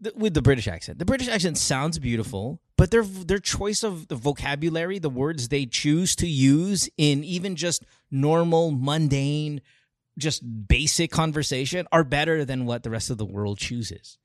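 A Filipino man co-hosting a casual chat in English and Tagalog, emphatic and opinionated, quick to laugh. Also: fairly high pitch, expressive, no echo, studio quality. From Philippines. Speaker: podcast co-host.

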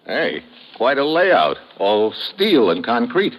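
A man's voice: medium pitch, wildly swooping pitch, slow pace, a little hiss or hum, low-quality recording. A man playing a tough American government agent, speaking tersely in a hardboiled old radio drama. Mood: wary. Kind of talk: 1950s radio drama narration and acting.

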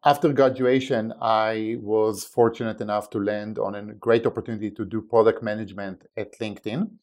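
Israeli guy on a podcast, slowish and careful, lots of uhs, lowish voice. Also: steady tone, darkish tone. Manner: measured, filler-heavy, wry. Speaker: podcast guest, insurance company president. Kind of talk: conversational interview answers.

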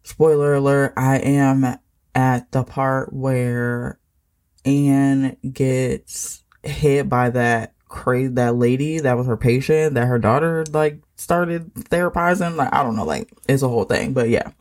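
A woman's voice: a bass voice, slow, a little mellow. An American woman hosting a casual solo podcast, talking in an informal, rambling, conversational way. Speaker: podcast host.

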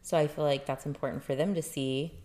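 A woman in her thirties with a low-pitched voice co-hosts a casual podcast. She teases and giggles a lot, filling her talk with "like" and "um.". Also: fairly even intonation, brisk, warm tone. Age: 30s. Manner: teasing, giggly, lots of fillers. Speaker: podcast co-host. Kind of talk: casual chat.

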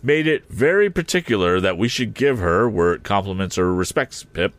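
A man's voice: fairly low pitch, animated, dry recording, natural voice. A man doing a humble, muddled working man. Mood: earnest, halting. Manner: halting, roundabout formal phrasing. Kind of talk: audiobook character voice.

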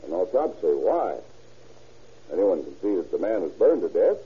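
An actor playing a pushy, impatient attorney who talks in a brisk, insistent way, in 1940s radio drama style. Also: male voice, low voice, sing-song, slightly rough, phone-quality sound, exaggerated voice.

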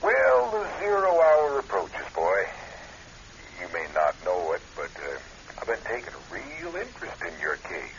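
Radio drama gumshoe: folksy, sly, hesitant, very animated and bright, hissy muffled sound. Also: male voice, fairly low pitch, vocal fry, exaggerated voice. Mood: folksy coaxing. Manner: hesitant, folksy, drawn-out delivery. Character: folksy, sly middle-aged male private eye. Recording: hissy, muffled old radio phone call.

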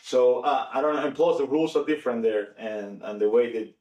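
A man's voice: medium pitch, brisk, lo-fi sound. Venezuelan man chatting in an echoey room. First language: Spanish. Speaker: podcast participant. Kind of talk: casual conversation. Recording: echoey room, distant mic.

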